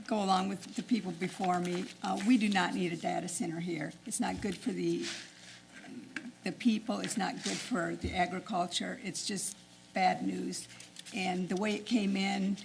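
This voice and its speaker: Woman, gravelly voice, short firm comment, bit of noise behind. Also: mid-range voice, fairly even intonation, moderate pace, rough recording. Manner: firm, earnest. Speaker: public commenter. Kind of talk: brief public comment at a council meeting.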